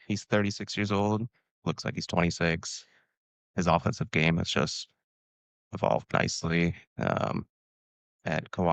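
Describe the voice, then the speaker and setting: Deep gravelly man co-hosting a podcast. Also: dry recording. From Washington, United States. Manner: laid-back, hesitant, lots of fillers. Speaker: podcast co-host. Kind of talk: casual sports podcast talk.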